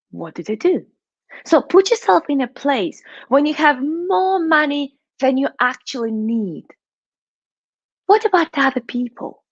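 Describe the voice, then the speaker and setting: Woman, Russian accent, motivational money coach, asks questions, higher voice, dry muffled sound. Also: dry recording. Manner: coaching, asks the listener questions, adds "right?" and "okay?". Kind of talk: motivational talk to viewers.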